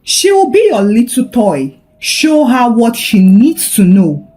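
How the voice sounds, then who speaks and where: A woman's voice: on the high side, very animated, takes it slowly, dark tone. Woman voicing a cruel, menacing folktale grandmother. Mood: cold, smug. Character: cruel, cold, domineering evil grandmother in a folktale.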